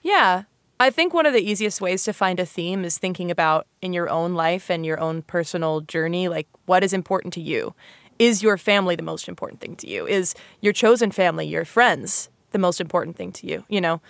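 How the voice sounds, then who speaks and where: A woman's voice: on the low side, a little fast, creaky, dry recording. A woman hosting a casual educational interview, chatty, informal and curious. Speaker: podcast host interviewing a guest.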